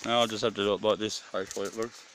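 A man's voice: lowish voice, flat delivery, rapid-fire. An Australian man giving a casual, slangy, joking camcorder-style tour outdoors, swearing freely as he goes. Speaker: camper filming a campsite tour. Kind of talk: casual handheld video tour. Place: outdoors at a bush campsite. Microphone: handheld phone or camera. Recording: outdoor handheld recording with clear background noise.

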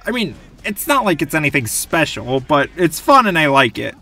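A man's voice: a little high, moderate pace. A young man giving enthusiastic, gushing scripted commentary as a video game reviewer. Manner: gushing, animated.

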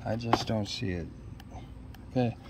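A man's voice: on the low side, unhurried. A middle-aged man chatting casually outdoors, musing to himself in short, hesitant fragments as he unboxes gear. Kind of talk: casual unscripted unboxing chat. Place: outdoors in a leafy yard. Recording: outdoor ambient noise behind voice.

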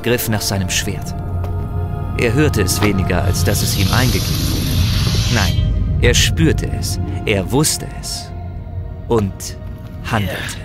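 A man narrating a fantasy audio drama in a grave, measured, scripted storytelling style. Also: on the low side. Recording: music behind the voice.

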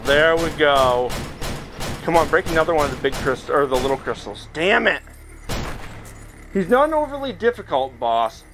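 A man with a fairly high voice gives casual commentary while actively playing a VR game. He chats away and thinks aloud like a host talking to his viewers, with music playing behind him. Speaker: gaming video host. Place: indoors, playing in a VR headset in front of a camera.

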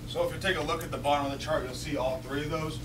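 Brisk young male student presenter. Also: a little high, steady tone. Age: young adult. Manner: guiding audience through charts. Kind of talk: rehearsed finance presentation. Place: lecture hall on stage. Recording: distant voice with room noise.

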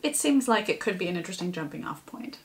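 A woman in her thirties presenting a demonstration with a co-host, with deliberate, measured phrasing and slightly theatrical touches. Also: fairly low pitch, big pitch swings, heavy background noise. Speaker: puppetry presenter. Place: home kitchen.

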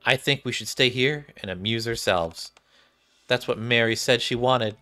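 A man's voice: medium pitch, moderate pace, pushed and bright. A young adult man, a game streamer, reading visual novel dialogue aloud. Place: small indoor room. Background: game music.

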